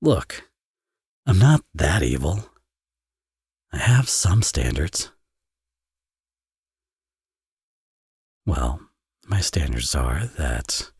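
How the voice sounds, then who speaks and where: A man's voice: rumbling low, big pitch swings, takes it slowly, creaky, pauses often, darkish tone, breathy edge, no room sound, studio quality, full character voice. A man playing a teasing, flirtatious villain and doting father in an intimate romance audio roleplay. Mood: smug teasing. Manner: playful, smug, sarcastic teasing.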